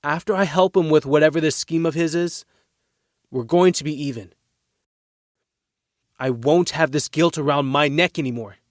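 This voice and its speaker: A man's voice: on the high side, normal speed, pauses often, no echo, lightly acted. Man playing an earnest, guilt-ridden teenage boy, dry sound. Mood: earnest, firm. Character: guilt-ridden working-class teenage boy, loyal and defensive. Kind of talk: audio drama dialogue.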